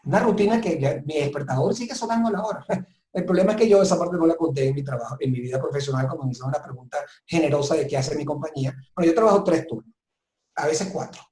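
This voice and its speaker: A man with a slightly high, mellow voice gives advice as an interview guest. He speaks with reflective emphasis and repeats words to drive points home. The sound is roomy and echoing. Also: fast talker. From southern Italy. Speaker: business consultant, guest. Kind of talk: webinar interview, giving advice.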